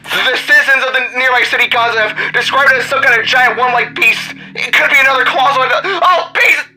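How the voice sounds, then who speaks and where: A man's voice: extremely high pitch, brisk, sharp tone, pitched above the performer's own voice, exaggerated voice, rough recording. A man voicing an urgent, stammering owl-person news reporter in an audio drama, with music behind. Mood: panicked.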